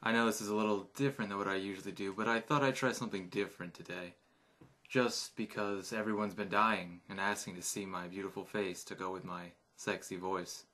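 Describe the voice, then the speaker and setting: A man's voice: sits low, steady tone, fairly quick, sharp tone. A man casually rambling his opinions on video games, chatty and offhand with many fillers. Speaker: gaming YouTuber.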